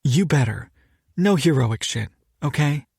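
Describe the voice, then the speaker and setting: A man's voice: fairly high pitch, lively intonation, unhurried, vocal fry, a little mellow, husky, dry recording, clean studio sound, exaggerated voice. A man voicing a blunt, no-nonsense woman who scolds her companion. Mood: scolding.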